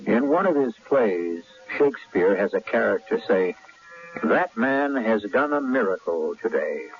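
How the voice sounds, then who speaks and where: A man's voice: on the low side, animated, measured pace, faint music behind. A man hosting and narrating a scripted radio drama in a theatrical, literary manner. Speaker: host and narrator. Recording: dull old radio broadcast.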